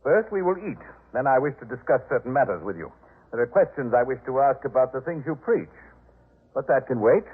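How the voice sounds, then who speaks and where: An actor playing a proud, disdainful householder in a 1950s radio drama, commanding, clipped and pompous. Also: male voice, medium pitch, big pitch swings, fairly quick, breathy edge. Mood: cool formality. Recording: muffled phone-like 1950s radio recording.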